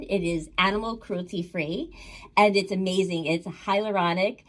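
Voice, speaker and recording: Woman, upbeat product pitch, slightly low voice.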